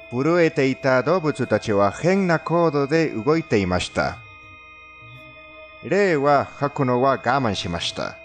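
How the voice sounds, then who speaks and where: A man with a non-native accent delivers scripted countdown narration in a formal, somewhat stilted storytelling manner. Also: medium pitch. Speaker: narrator of a fandubbed countdown video.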